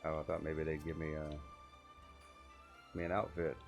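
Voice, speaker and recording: A man's voice: very deep voice, level tone, slow, faint music behind. A man streaming a video game, reading its text and commenting casually.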